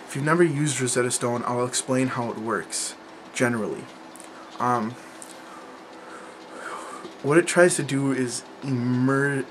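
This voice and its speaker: A man's voice: medium pitch, slight background noise. Rambling young man talking to camera. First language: English. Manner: rambling, many restarts and fillers. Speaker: language learning vlogger. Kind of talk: casual talk to camera.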